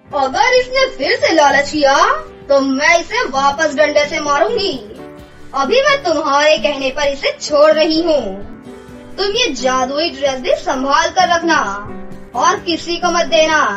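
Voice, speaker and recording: Woman playing a very high-pitched, scolding cartoon sorceress. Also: expressive, a little slow, fairly bright tone, far from the mic, dull audio, faint music behind. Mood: stern, scolding. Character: stern, greedy cartoon sorceress with a stick. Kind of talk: cartoon voice acting.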